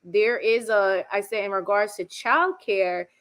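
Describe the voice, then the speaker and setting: Lady host chatting informally, bit raspy, voice half gone from yelling, medium pitch. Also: unhurried. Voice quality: a little raspy. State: voice partly lost from shouting. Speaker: host, school educator and coach. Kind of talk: conversational interview.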